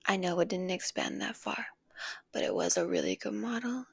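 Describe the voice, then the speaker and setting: A woman playing a possessive, doting female villain in an intimate ASMR roleplay, coaxing and tender, with a breathy voice that often drops to a whisper. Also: mid-range voice, big pitch swings, normal speed, no echo, studio quality, heavily acted. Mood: smug, playful. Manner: coaxing, tender, lingering on pet names.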